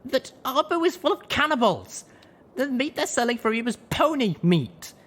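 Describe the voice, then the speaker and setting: A man's voice: extremely high pitch, very animated, moderate pace, voice pushed up, full character voice. A man voicing a furious young pony mare heroine, raging and threatening with righteous menace in an audio story. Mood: cold rage. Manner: raging shouts and hissed, growled threats.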